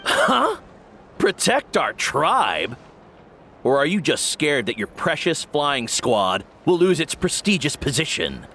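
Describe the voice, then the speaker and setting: Casting: sneering tribesman, bright gravelly voice, swooping and slow, faint noise. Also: a little high, wildly swooping pitch, heavily acted. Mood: scornful. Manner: mocking, combative. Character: scornful male tribesman, blunt and mocking. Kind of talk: video game voice acting.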